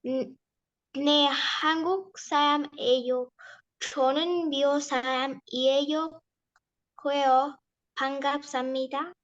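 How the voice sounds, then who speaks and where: High, hesitant woman student, lo-fi line. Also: fairly even intonation, a bit bright. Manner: hesitant, halting. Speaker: language student.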